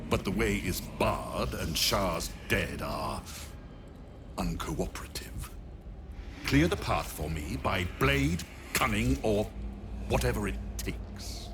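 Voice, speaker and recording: An actor playing a pompous, scheming necromancer in a video game, condescending and theatrical in his deliberate speech. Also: fairly low pitch, creaky, noisy background, heavily acted. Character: pompous, scheming male necromancer, haughty and contemptuous.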